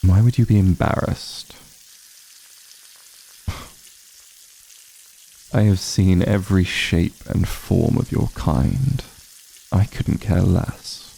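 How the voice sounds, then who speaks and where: Man voicing an ancient vampire lord, gravelly creak, slow measured pace, haughty formal diction, aloof, ASMR fantasy roleplay. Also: low voice, mellow, heavily acted. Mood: dismissive, restrained. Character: ancient aloof male vampire patriarch, commanding but honorable.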